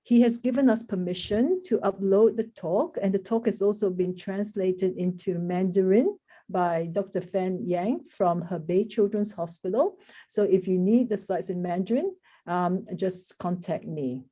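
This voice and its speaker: A woman's voice: medium pitch, measured pace, warm tone. A woman hosting an online session, giving an informal introduction with hesitant asides. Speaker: session host, moderator. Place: video call.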